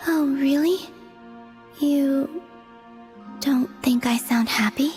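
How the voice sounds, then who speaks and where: Wistful moon girl in a game, breathy n deadpan, highish voice, big reverb, music under it. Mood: gently deadpan. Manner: soft, breathy, measured, deadpan. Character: otherworldly young moon maiden, wistful, serene, detached. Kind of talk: dramatic video game voice acting.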